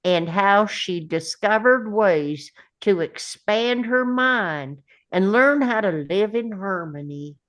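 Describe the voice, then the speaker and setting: A woman at a table read playing a simple, earnest, gentle young man in love, speaking slowly. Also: medium pitch, animated. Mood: wistful narrating.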